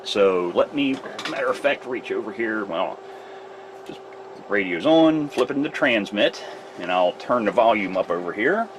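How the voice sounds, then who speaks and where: A man's voice: lowish voice, animated, moderate pace, background noise. A man who repairs radios, casually explaining his test setup step by step in a workshop. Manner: rambling, explains gear step by step. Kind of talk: casual technical demonstration. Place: repair shop workbench with test gear.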